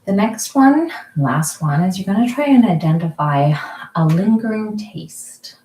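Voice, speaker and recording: Soft-spoken woman guiding a mindfulness exercise. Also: medium pitch, roomy sound, low-quality recording. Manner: slow, soft, calm guiding with frequent pauses. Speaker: mindfulness group leader. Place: kitchen or dining room at home.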